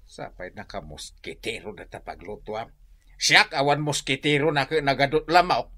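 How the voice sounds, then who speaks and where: A jealous Ilocano husband whining for attention in a radio comedy. His sharp voice swoops widely in pitch and carries an Ilocano accent. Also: expressive, normal speed. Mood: sulking, jealous.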